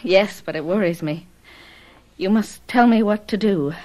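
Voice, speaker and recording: An actress playing a headstrong, passionate young gentlewoman in a classic radio drama, earnest and theatrical. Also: medium pitch, measured pace, natural voice, low-quality recording. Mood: confiding.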